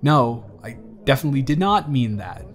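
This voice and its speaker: A man's voice: medium pitch, lively intonation, normal speed, soft background music, natural voice. A young man acting a wry, awkward but kind college student in an intimate, conversational roleplay audio, with a dry, teasing and hesitant delivery. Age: young adult.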